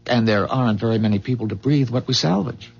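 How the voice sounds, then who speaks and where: An actor playing a calm, earnest man from a dying future, explaining gravely in measured 1970s radio drama style. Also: medium pitch. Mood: grave, matter-of-fact. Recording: dull, muffled 1970s radio drama recording.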